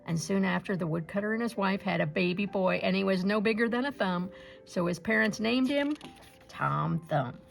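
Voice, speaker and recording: A woman reads a children's fairy tale aloud, in a gentle storybook manner. Her voice carries heavy vocal fry and sits at a middle pitch. Soft music plays faintly behind her. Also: normal speed.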